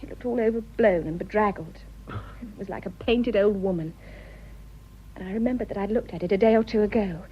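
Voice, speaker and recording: An actress playing a sensitive, romantic young upper-class English wife in a British radio drama, with a genteel, emotional manner. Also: female voice, medium pitch, brisk, muffled recording, a little hiss or hum, lightly acted. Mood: distressed, impassioned.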